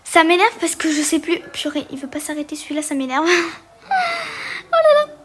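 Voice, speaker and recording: High-voiced woman chatting through a game. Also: very animated, fairly quick, a bit bright. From Portugal. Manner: hesitant, many fillers, chatty. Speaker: gaming video creator. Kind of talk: casual game walkthrough commentary.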